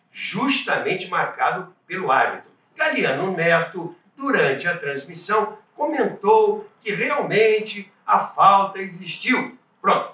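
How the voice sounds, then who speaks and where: A man's voice: high voice, pushed and bright. A man with a Brazilian Portuguese accent narrates a scripted documentary as host, in an emphatic storytelling manner that addresses the listeners. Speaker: podcast host and narrator of radio history. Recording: roomy echo, distant from microphone.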